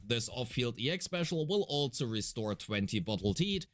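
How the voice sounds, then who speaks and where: A man's voice: mid-range voice, very animated, moderate pace, a bit bright. A man reading scripted explanatory narration as a game guide, detailed and methodical with a mildly wry touch.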